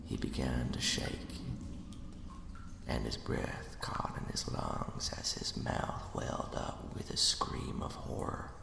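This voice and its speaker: Sinister ghost storyteller played by a man: extremely low, gravelly voice, folksy menace, spooky ASMR roleplay. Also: level tone, full character voice. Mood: ominous storytelling. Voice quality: breathy, gravelly whisper. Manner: slow, deliberate, hushed, folksy and menacing. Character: sinister ghost of a murdered right-hand man, spinning a spooky tale. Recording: reverberant, cavernous echo.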